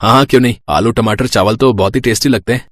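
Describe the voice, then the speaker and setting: A man's voice: medium pitch, fast talker, fairly bright tone, no room sound, studio quality, natural voice. A man voicing an easygoing, wry adult son in a scripted family moral story. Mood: wry, casual. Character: easygoing adult son joking about household quarrels.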